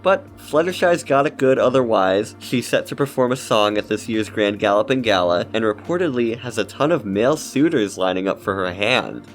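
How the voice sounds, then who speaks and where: Male video essayist, low pitch, casual scripted commentary over background music. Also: lively intonation, normal speed, hardly any pauses.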